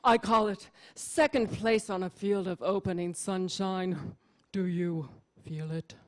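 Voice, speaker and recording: A woman's voice: lowish voice, steady tone, a little slow, lightly acted. A woman playing a pretentious, brooding painter in a radio comedy sketch, with a pompous, dramatic, self-serious manner. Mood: intense. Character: pretentious, brooding avant-garde woman painter named Chimera.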